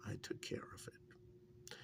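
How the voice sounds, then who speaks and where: A man playing a wry, affectionate partner reminiscing in a soft-spoken, intimate ASMR role-play, halting and musing, breathy and often slipping into a whisper. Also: lightly acted. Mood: soothing.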